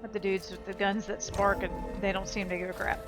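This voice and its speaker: A woman's voice: mid-range voice, little pitch movement, fairly quick. A woman giving casual gameplay commentary as a let's play host, rambling and blunt with some swearing. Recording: loud game music behind.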